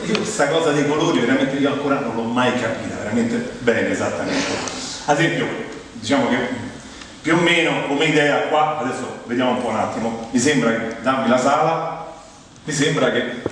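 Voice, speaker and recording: A man's voice: mid-range voice, normal speed, a bit bright. A man from Rome with a Roman accent delivers an ironic, conversational comic monologue to a theatre audience, teasing them as he goes. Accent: Roman Italian. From Rome, Italy. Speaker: stand-up monologist, stage performer. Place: theatre stage in a large hall. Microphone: distant microphone. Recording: echoey hall from far off, faint noise.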